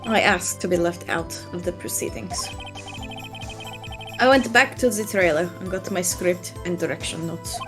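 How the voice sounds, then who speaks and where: A woman's voice: fairly low pitch, lightly acted. Woman playing a cool, imperious witness over music. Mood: measured testimony. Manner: measured, condescending, drawn-out phrases. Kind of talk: game fan dub acting.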